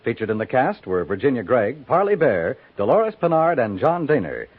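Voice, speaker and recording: A man's voice: medium pitch, very animated, normal speed, husky. An American man working as a radio announcer, reading a script in a formal 1950s broadcast style. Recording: tinny 1950s radio recording. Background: music.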